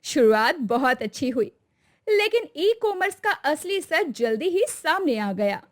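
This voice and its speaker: A woman's voice: high-pitched, big pitch swings, measured pace. A woman narrating a scripted, dramatic cartoon story.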